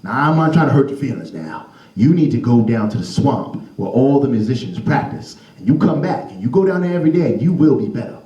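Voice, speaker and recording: A man voicing a blunt old man who gives stern, honest advice in a slow, gruff way. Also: medium pitch, sing-song, dark tone, roomy sound, lo-fi sound, voice pushed down, close to an everyday voice.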